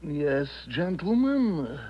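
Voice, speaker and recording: Slow, deliberate hotel desk clerk. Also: male voice, fairly high pitch, big pitch swings, exaggerated voice. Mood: polite, apologetic. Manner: hesitant, deliberate, throat-clearing politeness. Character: fussy hotel desk clerk, mildly gloating, matter-of-fact. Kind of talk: 1970s radio drama acting. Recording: dull 1970s radio drama recording.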